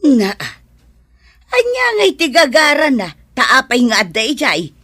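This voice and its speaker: Sharp-voiced, scolding Ilocano busybody. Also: female voice, high-pitched, wildly swooping pitch, unhurried, breathy edge, exaggerated voice. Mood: accusing. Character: scolding, suspicious, gossipy Ilocano woman neighbour. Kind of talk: Ilocano radio drama acting.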